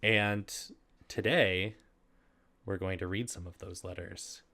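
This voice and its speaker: A man's voice: deep voice, fairly even intonation, takes it slowly, sharp tone. A man casually hosting a video and reading humorous letters aloud, easily amused and prone to giggling. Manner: giggly, often breaking into laughter while reading.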